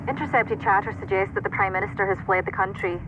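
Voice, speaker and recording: Female radio intel operator for a video game: brisk, matter-of-fact, thin static-laden transmission. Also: mid-range voice. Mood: focused, businesslike. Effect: radio filter. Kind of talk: video game cutscene acting. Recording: tinny, noisy military radio link.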